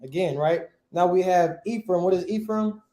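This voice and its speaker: A man's voice: sits high, moderate pace, dark tone, roomy sound. A man teaching a class, quizzing his students and repeating key words.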